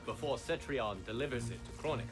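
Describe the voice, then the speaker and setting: A man voicing a scheming, formal sorcerer in a video game, measured and smug. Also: medium pitch, over music, lightly acted. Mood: cool, urgent. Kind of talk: video game cutscene acting. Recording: loud cinematic score behind.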